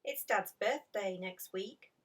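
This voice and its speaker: A woman's voice: mid-range voice, slow pace, recorded from a distance. A woman voicing an earnest, downcast schoolgirl in a children's storybook. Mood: gloomy.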